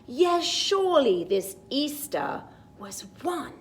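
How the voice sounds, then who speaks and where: A woman storytime teacher reading a picture book aloud to young children, expressive and sing-song, with chatty asides. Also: sits high, takes it slowly.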